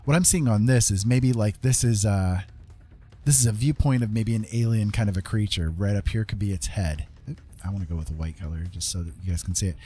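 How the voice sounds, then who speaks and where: A man's voice: lowish voice, a little fast, mellow, slightly rough. A man hosting a casual drawing tutorial, thinking aloud in a rambling, informal way with frequent tag questions. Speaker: art tutorial host.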